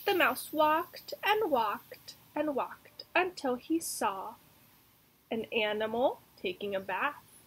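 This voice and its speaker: A woman's voice: a little high, expressive, slow pace, a bit bright, slight background noise, rough recording. A young woman teacher reading a storybook and giving a lesson to children. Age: young adult. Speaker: elementary school teacher.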